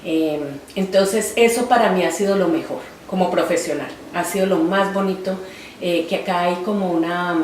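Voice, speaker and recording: A Colombian woman in her forties speaks reflectively, pausing often on fillers as she recalls her life. She is heard from a distance in a roomy space with a faint hiss behind her. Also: on the low side. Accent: Colombian Spanish. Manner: reflective, halting, with many fillers. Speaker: interviewee, artist. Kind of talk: oral history interview.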